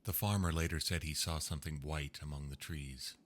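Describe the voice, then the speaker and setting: A man reading old ghost stories aloud in a slow, hushed storytelling manner. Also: a bass voice. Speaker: narrator. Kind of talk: scripted ghost story narration.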